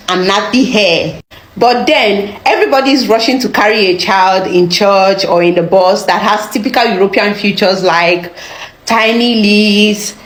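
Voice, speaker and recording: Animated woman with a laughing delivery. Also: medium pitch, reverberant, rough recording. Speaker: social media commentator. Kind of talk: satirical monologue.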